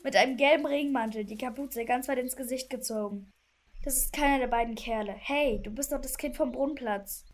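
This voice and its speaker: Woman playing a wary, high-pitched kid sleuth. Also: fairly quick. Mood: challenging. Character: young child detective, wary, teasing sidekick. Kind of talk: children's audio drama acting.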